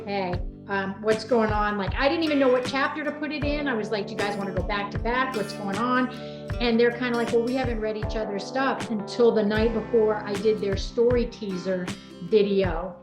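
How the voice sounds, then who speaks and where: A woman hosting a casual interview in a chatty, informal, rambling way. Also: medium pitch. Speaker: host. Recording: roomy echo, music behind voice.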